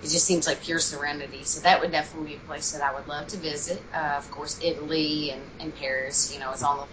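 A woman's voice: low-pitched, flat delivery, quick pace, noisy background. A young woman from Kentucky, a podcast guest chatting casually and rambling with many fillers and restarts. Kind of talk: casual interview chat.

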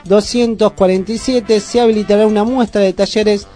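A man's voice: high-pitched, steady tone, moderate pace, mellow, faint music behind. A man with an Argentine accent hosting a community radio show, talking in a rambling, chatty way. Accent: Argentine Spanish. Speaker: radio host. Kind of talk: casual radio chat.

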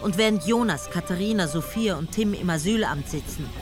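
A woman narrating a radio drama in a measured, serious way. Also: on the low side, expressive, over music. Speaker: narrator and investigator character.

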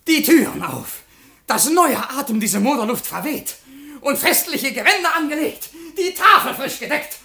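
An actor playing a sly, mocking servant turned heir, declaiming silkily in a theatrical radio play. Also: male voice, very high-pitched, bright, forceful tone, full character voice. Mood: triumphant.

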